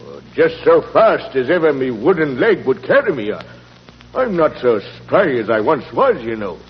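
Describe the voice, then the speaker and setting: An excitable old Irish caretaker, a colourful storyteller in an old radio play. His voice sits a little high and is folksy and emphatic. The recording is muffled and echoes. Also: normal speed. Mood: insistent, boastful. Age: older. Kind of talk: 1940s radio acting.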